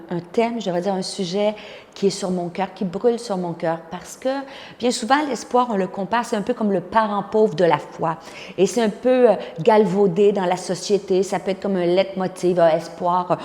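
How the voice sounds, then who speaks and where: A woman's voice: medium pitch, moderate pace, a little mellow. A woman in her fifties with a Québécois accent, a pastor and conference speaker giving a personal testimony with words of encouragement. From Quebec, Canada. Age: 50s. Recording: long hall-like reverb.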